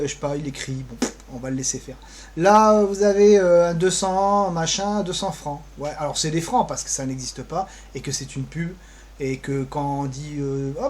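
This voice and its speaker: A man co-presenting an improvised screen-share tour, talking offhand and flippantly as he thinks aloud. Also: fairly high pitch. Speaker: co-presenter giving a guided tour of social media pages.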